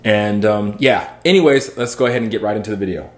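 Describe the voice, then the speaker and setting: A man's voice: fairly low pitch, fast talker. A man talking casually to his viewers in a vlog. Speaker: video blogger. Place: at home.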